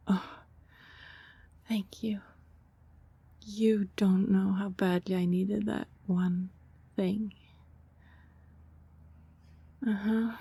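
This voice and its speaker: A soft-spoken female vampire neighbor, acted by a woman in an intimate ASMR roleplay, friendly and fussing but keeping secrets. The voice is mellow and breathy with plenty of fry, often sinking toward a whisper, and the recording is very dry and close. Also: medium pitch, dry recording, heavily acted. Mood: grateful, hushed.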